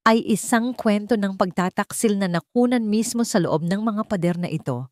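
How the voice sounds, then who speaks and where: A woman voicing a stern, wealthy old patriarch in an audio story, with a cold, deliberate, commanding manner. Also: mid-range voice, a little mellow, no room sound, studio quality, voice pushed up, lightly acted.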